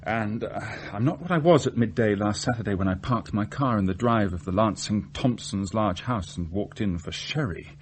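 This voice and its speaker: An actor playing an earnest, lovestruck research scientist in a radio play, confessing his feelings in a hesitant, deliberate way. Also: lowish voice, a little hiss or hum, close to an everyday voice. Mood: hesitant, intense. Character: earnest, lovestruck, self-searching male research scientist from genteel Salisbury. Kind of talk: radio drama acting.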